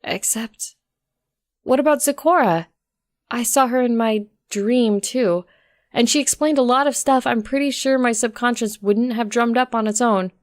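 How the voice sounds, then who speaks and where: A woman's voice: fairly high pitch, normal speed, no room sound, clean studio sound, close to an everyday voice. A woman voicing a stubborn, sarcastic young mare who tells her own story in an informal, conversational way. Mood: puzzled, wry. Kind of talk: first-person dramatic story narration.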